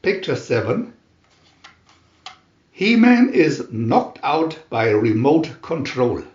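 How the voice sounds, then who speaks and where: A man reading a storybook aloud as dramatic narration, in a theatrical, deliberate manner with emphatic announcements. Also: mid-range voice, very animated, darkish tone, echoey room, dull audio. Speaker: reader of picture-reel story booklets.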